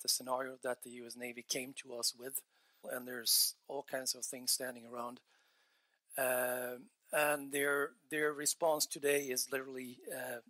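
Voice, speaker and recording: A man's voice: mid-range voice, bright, forceful tone. A man in his forties giving an earnest technical talk on a headset mic in a large conference hall, gesturing as he speaks and often restarting his phrases. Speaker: conference presenter.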